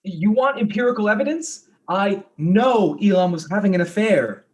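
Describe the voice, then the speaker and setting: High-voiced, flustered, earnest fiancé man acting. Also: expressive, normal speed. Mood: accusing. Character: insecure, earnest, jealous fiancé, goofy and apologetic. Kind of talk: stage-play dialogue in a darkly comic mystery.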